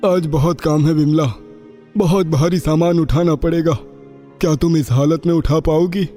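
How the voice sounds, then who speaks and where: Man acting a high-voiced, meek labourer husband. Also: steady tone, unhurried, long pauses, warm tone, lightly acted. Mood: weary concern. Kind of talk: animated story acting. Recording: long reverb, music underneath.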